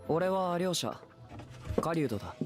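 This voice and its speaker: Higher-pitched easygoing young game hunter. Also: male voice, big pitch swings, moderate pace, faint music behind. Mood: casual, confiding. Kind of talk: Japanese video game voice acting.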